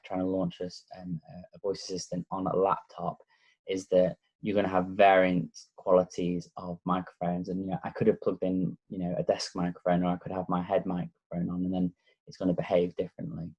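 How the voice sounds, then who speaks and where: A man with a Lancashire accent gives a relaxed, casual tech walkthrough, with frequent ums and pauses. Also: deep voice, dull audio. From Blackpool, North West England. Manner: relaxed, hesitant with many fillers. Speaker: tech reviewer. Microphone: laptop microphone.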